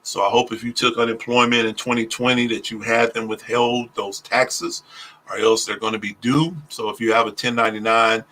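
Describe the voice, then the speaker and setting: Man, tax preparer on a livestream, bright tone, deliberate pace, frequent fillers. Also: fairly low pitch, level tone, rough recording. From Oklahoma, US. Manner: speaks deliberately slowly to avoid stuttering, many "uh" fillers. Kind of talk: casual livestream talk on taxes. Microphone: phone.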